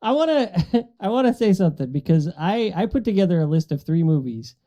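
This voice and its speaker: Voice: mid-range voice, very animated, fairly quick, mellow, muffled recording. A podcast co-host talking casually in a group chat.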